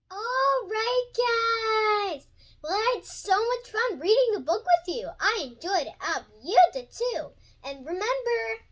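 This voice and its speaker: A child host reading a picture book aloud for young listeners, addressing them directly in an excited way. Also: extremely high pitch. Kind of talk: storybook read-aloud with enthusiastic channel intro and sign-off.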